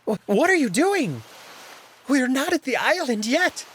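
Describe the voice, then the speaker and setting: A man voicing a timid, anxious young male furry alien servant in a visual novel, flustered and pleading, with a breathy husky voice. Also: extremely high pitch, sing-song, unhurried, a bit bright, voice pushed up, full character voice. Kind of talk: visual novel character voice acting.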